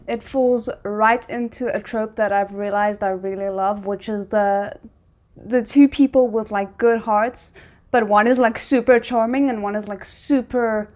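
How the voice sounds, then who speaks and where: A woman with a fairly high voice reacts casually to a drama series. She thinks aloud as she goes, halting and rephrasing in an informal, conversational way. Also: a little mellow. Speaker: reaction video host.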